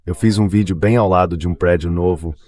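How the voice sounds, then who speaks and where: A man's voice: deep voice, brisk, darkish tone. A man giving conversational interview commentary in a matter-of-fact way, read as a translated voice-over.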